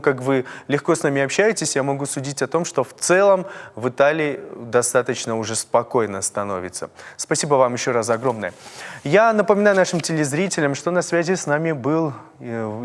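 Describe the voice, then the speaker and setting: A man from North Ossetia hosting a TV interview, speaking in a measured, searching way with many fillers. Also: mid-range voice, long reverb tail. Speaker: TV host. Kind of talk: TV interview and sign-off.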